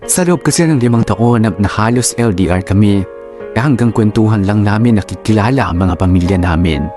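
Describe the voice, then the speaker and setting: Low-voiced male narrator telling a story calmly over faint music. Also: fairly quick.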